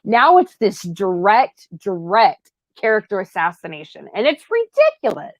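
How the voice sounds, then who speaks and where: A woman's voice: mid-range voice, very animated, normal speed, no echo. A woman host in casual conversation who backs her guest with frequent affirmations and speaks indignantly about the subject. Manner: agreeable, supportive, frequent affirmations. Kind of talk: casual chat on a livestream.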